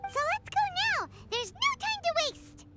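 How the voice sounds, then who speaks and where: Squeaky excitable tiny fairy. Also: female voice, moderate pace, heavily acted. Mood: excited, eager. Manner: exclamatory, refers to self in third person. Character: tiny childlike floating fairy companion, excitable and impatient. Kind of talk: anime game voice acting. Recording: music behind the voice.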